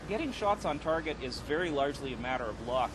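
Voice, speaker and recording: Male expert, middle-pitched, measured and analytical interview delivery, very noisy outdoor sound behind. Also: very animated. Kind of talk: unscripted interview commentary. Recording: loud outdoor engine-like background noise.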